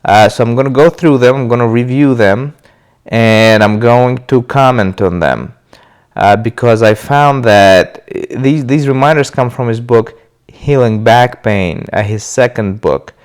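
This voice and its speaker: Casting note: man, mid pitch, stuffy from a cold, easy unscripted talk with frequent fillers. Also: measured pace, clean tone. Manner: relaxed, loose, with many uh fillers and restarts. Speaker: video host sharing his recovery. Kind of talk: casual talk to camera.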